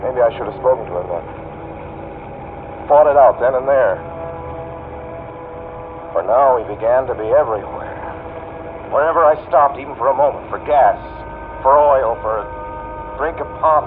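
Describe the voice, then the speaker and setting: An actor playing a desperate, haunted male driver with intense, halting 1940s radio delivery. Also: mid-range voice, natural voice. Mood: haunted, anxious. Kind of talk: 1940s radio acting, first-person narration and dialogue. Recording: narrow, noisy vintage radio drama recording. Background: vehicle and radio ambience.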